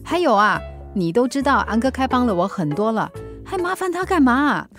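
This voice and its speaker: A woman's voice: fairly high pitch, very animated, normal speed, a bit bright, soft background music. An actress with a Singaporean accent playing a middle-aged, teasing and mildly exasperated mother in a radio drama, with chiding, playful banter. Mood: flustered. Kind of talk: Mandarin radio drama acting.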